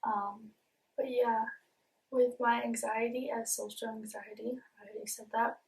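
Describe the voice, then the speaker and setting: A young woman talks to the camera in a fairly high voice, with a speech impediment that softens some sounds. She is earnest and open and keeps restarting with ums and repeated words. She is picked up by a camera mic set a bit away from her. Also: level tone, moderate pace, recorded from a distance, low-quality recording. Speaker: vlogger. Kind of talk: casual confessional talk to camera.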